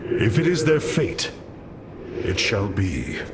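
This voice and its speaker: A man's voice: on the low side, sing-song, slow, very noisy. A man playing a noble, resolute alien war leader in a game, speaking formally with grave command. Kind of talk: scripted game cutscene dialogue.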